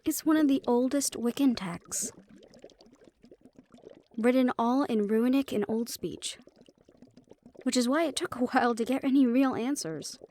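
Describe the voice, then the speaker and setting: A woman's voice: sits high, warm tone, lightly acted. A woman playing a helpful, knowledgeable magic-shop keeper in a fantasy audio roleplay, explaining things with light teasing.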